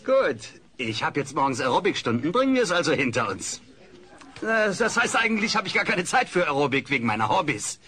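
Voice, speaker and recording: A boisterous, jovial fat comedian working a crowd in an audio drama. His voice is high and very animated. It rings out brightly over some background noise. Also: fairly quick. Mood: boisterous, joking. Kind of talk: stand-up routine in a radio play.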